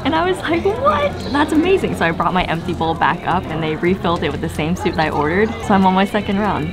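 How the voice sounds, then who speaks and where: A woman's voice: lowish voice, sing-song, fast. A young woman chatting enthusiastically on a travel vlog, giggling often as she describes food and her day. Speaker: travel vlogger. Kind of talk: casual travel vlog chat. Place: cozy restaurant and camper van. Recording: background music under voice.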